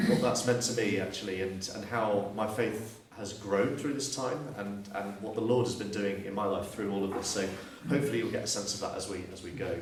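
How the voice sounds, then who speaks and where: Man, southern english accent, earnest reflective testimony, low voice, faint hiss. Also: very echoey, recorded from a distance, rough recording. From Surrey, southeast England. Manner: earnest, reflective, with frequent restarts. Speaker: guest speaker. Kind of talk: personal testimony talk.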